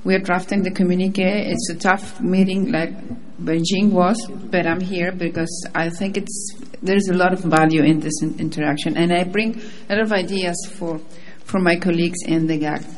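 A woman's voice: on the low side, fairly even intonation, moderate pace, poor audio. Spanish-accented woman over background noise. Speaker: panelist, government delegate. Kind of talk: prepared but informal panel remarks.

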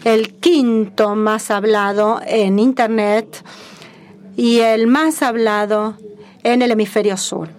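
A woman giving live simultaneous interpretation at a formal conference, measured and formal with frequent hesitations. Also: on the high side, steady tone, darkish tone.

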